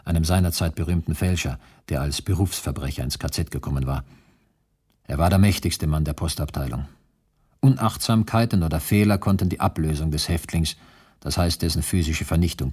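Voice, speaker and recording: A prisoner clerk in a radio drama. His voice is low and slightly raspy. He speaks soberly and precisely, holding all emotion back. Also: darkish tone. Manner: matter-of-fact, restrained, precise. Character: sober male prisoner clerk recounting camp work. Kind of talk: radio play narration and dialogue.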